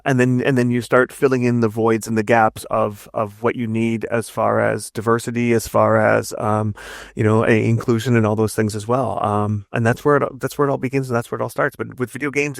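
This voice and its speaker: Male interview guest, explanatory and looping, frequent tag questions, some vocal fry, dry recording. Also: medium pitch, flat delivery, a little fast, dry recording. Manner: rambling, repetitive, often tags statements with "right?". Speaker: interview guest, narrative designer and writer.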